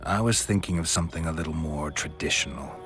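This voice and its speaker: A man voicing a stoic monster hunter with a dry, deadpan way of talking in a video game. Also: extremely low pitch, quick pace, soft background music. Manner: terse, deadpan.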